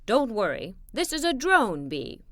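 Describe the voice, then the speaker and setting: A woman's voice: a little high, sing-song, a little slow, no room sound, studio quality, pitched below the performer's own voice. A woman voicing a large, jolly male beekeeper in a children's storybook, hearty and welcoming. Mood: friendly, enthusiastic. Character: large, jolly, friendly man who farms bees.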